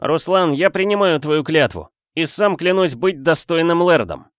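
A male narrator acts out a young fantasy lord who has just taken command of his clan. He is stern and imperious, with a declamatory delivery pitched well up. The sound is dry and close. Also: lively intonation, normal speed, no room sound, lightly acted. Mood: solemn.

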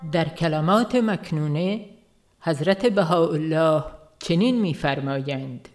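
A woman with a somewhat low voice reads a scripted spiritual audiobook. Her delivery is measured and reverent. Faint music plays behind her. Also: darkish tone. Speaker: audiobook narrator. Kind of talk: scripted spiritual book narration.

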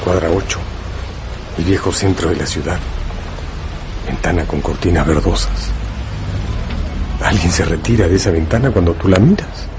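A man's voice: low voice, normal speed, long pauses, background noise. A man delivering dramatic scripted narration for a radio drama, addressing the listener directly. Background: faint music.

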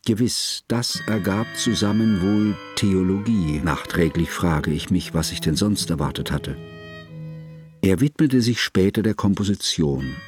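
Low-voiced, reflective scholarly narrator. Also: male voice, darkish tone. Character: reflective, scholarly male narrator recalling his friend. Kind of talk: literary radio drama narration.

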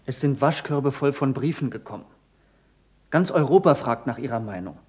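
A man's voice: medium pitch, lively intonation, moderate pace, darkish tone, natural voice. Male actor as a keen young researcher for radio theatre, mid-range voice, earnest and insistent. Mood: eager, pressing. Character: eager, earnest young scientist, Galileo's devoted pupil. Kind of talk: German radio play acting, 1960s.

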